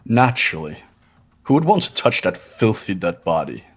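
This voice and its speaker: A man's voice: fairly low pitch, very animated, moderate pace, husky, faint music behind, full character voice. A man voicing a cold, haughty, condescending young man who gives orders in a fan-voiced visual novel game. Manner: condescending, clipped.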